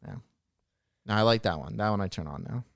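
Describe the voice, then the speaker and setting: A man's voice: lowish voice, fairly quick, vocal fry, no echo, clean studio sound. A man chatting casually as a podcast host, joking and swearing freely in loose group banter. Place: small podcast studio. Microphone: close studio podcast microphone.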